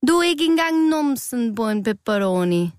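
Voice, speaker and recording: Jeering pepperoni for a storybook, played by a woman: raised pitch, bossy, theatrical, close dry sound. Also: a little mellow, clear, resonant tone, no echo, lightly acted. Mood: mocking. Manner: scornful, theatrical. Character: bossy, jeering Italian pepperoni and tomato toppings.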